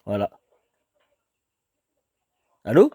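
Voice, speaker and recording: A man's voice: very slow, warm tone. A man in a casual phone conversation, explaining things in a rambling, hesitant way. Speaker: homeowner taking a cold call.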